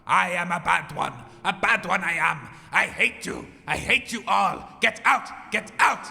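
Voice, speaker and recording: A man puts on a petulant, evil demon for an audio comedy. It rants melodramatically in a high, very bright voice that rings through a reverberant hall. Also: expressive, unhurried, gravelly, full character voice. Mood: hateful. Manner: melodramatic, repetitive ranting. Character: petulant evil demon possessing a medium, ranting and threatening.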